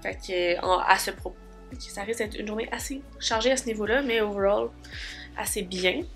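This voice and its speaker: A woman's voice: mid-range voice, normal speed, a bit bright, soft background music. Chatty Québécois woman with a cold. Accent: Québécois French. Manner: chatty, many fillers like "fait que" and "tu sais". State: congested from a cold. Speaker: vlogger. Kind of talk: casual vlog diary.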